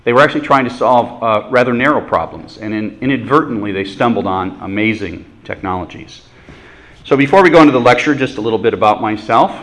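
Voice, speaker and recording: A man lecturing a university class in a relaxed, conversational way, with self-deprecating jokes. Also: lowish voice, a little fast, poor audio. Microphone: microphone adjusted during talk.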